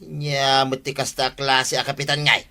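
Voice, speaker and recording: A man's voice: medium pitch, little pitch movement, measured pace, bright, forceful tone. An actor playing an exasperated village man who grumbles and complains in a comedy radio drama.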